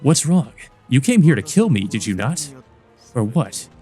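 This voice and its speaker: A man's voice: mid-range voice, big pitch swings, measured pace, darkish tone, soft background music. A man voicing a centuries-old male vampire villain from a visual novel, smug, mocking and theatrical, with a sneer. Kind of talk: visual novel character read-aloud.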